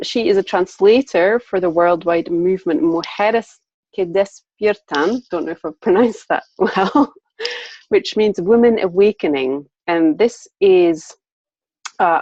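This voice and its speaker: A woman's voice: on the low side, darkish tone, no room sound, lo-fi sound. A woman hosting an online interview, introducing her guest in an enthusiastic way. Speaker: host and interviewer.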